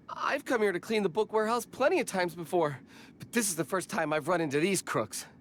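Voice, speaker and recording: An actress playing a flustered, deferential warehouse cleaner in a video game. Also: female voice, lowish voice, normal speed, slight background noise, lightly acted. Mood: relieved, awed. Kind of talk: scripted video game voice acting.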